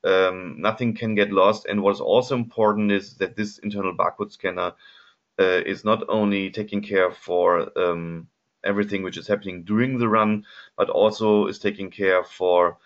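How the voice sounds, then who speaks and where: A very low-voiced man with a German accent walks through his slides in a webinar, pausing often on ums and restarting his sentences. The audio is a little dull and lo-fi, like a webinar recording. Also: fairly even intonation. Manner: hesitant, many ums and restarts. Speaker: product specialist presenting a webinar. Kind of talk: webinar presentation over slides.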